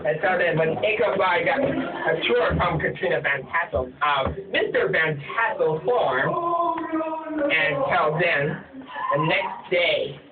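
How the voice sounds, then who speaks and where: High-voiced man telling stories, tinny and echoey. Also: expressive, over loud music. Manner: halting, repetitive, starts many sentences with "and so then". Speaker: amateur storyteller. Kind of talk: rambling improvised retelling of a ghost story. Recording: tinny phone-like sound, far from mic, echoey, crowd chatter.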